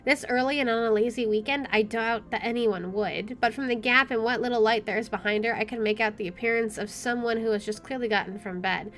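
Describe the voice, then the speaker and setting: Higher-voiced woman reading a game aloud. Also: fairly quick, few pauses. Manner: expressive reading with chatty asides. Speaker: let's player. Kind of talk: reading a visual novel aloud with casual commentary. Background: game music.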